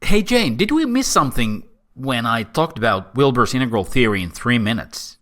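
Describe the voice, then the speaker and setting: A man with a Finnish accent, explaining a theory casually to camera in a playful, animated way. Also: normal speed. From Finland. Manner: animated, swooping pitch, playful asides. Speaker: host and philosopher.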